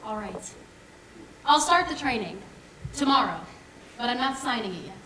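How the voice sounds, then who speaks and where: A woman's voice: on the high side, lively intonation, normal speed, sharp tone, recorded from a distance, slight background noise. A woman on stage playing a wary, skeptical geneticist, speaking in a guarded, hesitant way. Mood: wary, subdued. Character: wary, skeptical pioneering geneticist woken from cryo-sleep. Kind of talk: staged musical reading, stage acting. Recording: echoey theatre stage.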